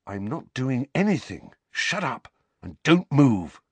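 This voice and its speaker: A man reading the part of a sharp-tongued teenage boy wizard in a storybook, needling and defiant. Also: mid-range voice, huge pitch swings, normal speed, dry recording, voice pushed up. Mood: tense.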